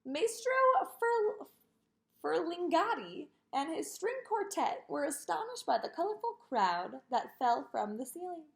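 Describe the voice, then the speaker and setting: A woman reading a children's picture book aloud in an expressive way, with sing-song delivery on repeated lists. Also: sits high, unhurried, creaky. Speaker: storybook reader.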